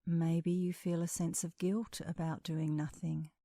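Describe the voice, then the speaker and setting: A woman leading a guided meditation as an instructor, speaking in a calm, soothing, measured way with long pauses. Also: fairly low pitch, no room sound, clean studio sound.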